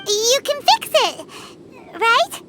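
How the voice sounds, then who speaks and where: Squeaky, very high childlike fairy sidekick. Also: female voice, very animated, extremely slow, noisy background, heavily acted. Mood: chatty, reassuring. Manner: bubbly, blunt, talks in exclamations. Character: tiny excitable floating fairy companion, childlike and chatty. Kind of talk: English video game voice acting. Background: loud game music.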